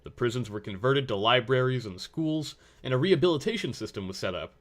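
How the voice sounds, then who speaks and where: A man reads a story aloud as narrator. His voice sits at an average male pitch, and the delivery is scripted narration. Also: expressive, a little fast, fairly bright tone.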